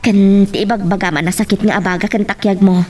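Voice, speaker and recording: A woman's voice: mid-range voice, fairly even intonation, fairly quick, a little mellow, close to an everyday voice. A woman with an Ilocano Filipino accent playing a concerned, proper wife and mother in a radio drama. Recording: slightly distant microphone.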